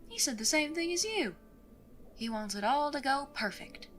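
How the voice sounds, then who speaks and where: A woman's voice: sits high, big pitch swings, normal speed, a bit bright. A woman acting in an audio drama as a tough, tender outlaw mother, folksy, teasing and reassuring. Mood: matter-of-fact. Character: protective outlaw mother, wry, tough, tender with grown son.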